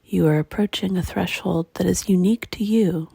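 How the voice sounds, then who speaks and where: A woman leading a guided meditation in a hushed, breathy, gentle and unhurried way, leaving long pauses between phrases. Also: on the low side, fairly even intonation, no echo, clean studio sound. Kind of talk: guided meditation, slow and soothing.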